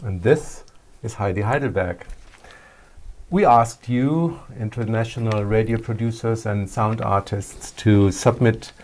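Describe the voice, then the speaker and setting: A man co-hosting a live festival, speaking in short, halting phrases as he presents. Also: lowish voice, a little mellow.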